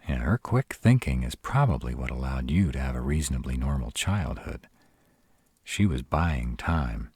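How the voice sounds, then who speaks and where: An extremely low-voiced, husky male were-dragon, acted by a man for a roleplay audio drama. He is protective and caring, with a wry, casual way of talking. The sound is dry and intimate. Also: a little mellow, dry recording, full character voice. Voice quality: very deep, husky.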